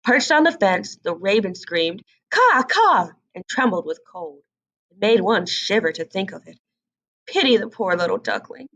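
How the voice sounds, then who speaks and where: Animated woman narrating a fairy tale. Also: medium pitch. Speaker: storybook narrator.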